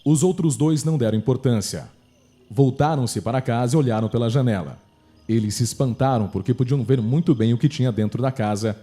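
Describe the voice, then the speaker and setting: A Brazilian man narrates a scripted horror story. His voice is mid-pitched and mellow, reading as the narrator of a radio drama. Faint music plays behind him. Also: brisk.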